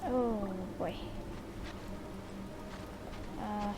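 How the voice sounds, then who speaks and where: A woman gamer with a creaky voice, giving casual short exclamations and reactions while she plays. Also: medium pitch, steady tone, very slow, loud music behind the voice.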